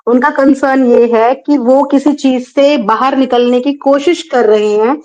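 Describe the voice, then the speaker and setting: Dull lo-fi call audio, woman, high voice, Indian accent, explanatory mediator, some English words. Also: steady tone, measured pace, mellow. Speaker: mediator in a dispute.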